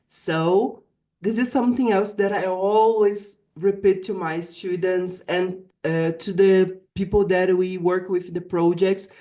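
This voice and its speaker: A woman from Brazil, a native Portuguese speaker with a Brazilian accent, giving a prepared talk as an English teacher in an earnest, deliberate manner. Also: medium pitch, warm tone. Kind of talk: prepared virtual summit presentation.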